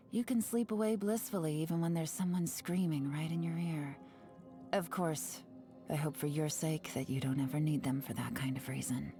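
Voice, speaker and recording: A sly young woman spy played by an actress in a video game, with a fairly low, mellow voice. She speaks in an unhurried, teasing, secretive manner that slips into breathy asides, with music behind her. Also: lightly acted. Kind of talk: video game character voice lines.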